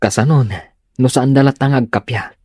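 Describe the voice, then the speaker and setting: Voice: medium pitch, steady tone, normal speed, darkish tone, no room sound, clean studio sound, natural voice. An actor playing a stern, emphatic character who scolds in an Ilocano comedy drama. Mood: troubled.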